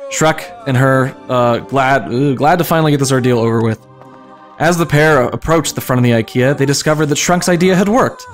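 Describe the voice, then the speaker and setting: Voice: medium pitch, fairly quick, soft background music. A streamer giving an informal, amused dramatic reading of comic fan fiction, stumbling over the text now and then. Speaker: streamer and story reader.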